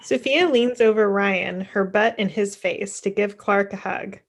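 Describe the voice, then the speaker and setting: A woman's voice: mid-range voice, measured pace. A woman giving scripted narration as the stage directions reader, recorded on a video call. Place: indoor room on a video call.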